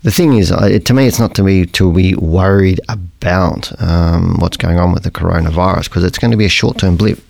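A middle-aged man with a croaky voice hosting a podcast, explaining things in a rambling, conversational way and often correcting himself. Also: deep voice, brisk, hardly any pauses, a little mellow, dry recording. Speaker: podcast host. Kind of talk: casual educational podcast monologue.

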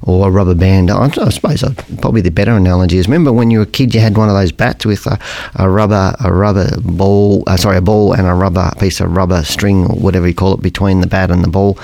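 A middle-aged man with a croaky voice hosting a podcast, explaining things in a rambling, conversational way and often correcting himself. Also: low voice, fairly even intonation, quick pace, a little mellow, dry recording. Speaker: podcast host. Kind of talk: casual educational podcast monologue.

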